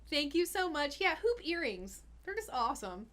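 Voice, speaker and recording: A woman's voice: high-pitched, big pitch swings, moderate pace, fairly bright tone. A young woman hosting a casual live-stream Q&A as a health coach, chatty and giggly with lots of fillers. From Austin, Texas.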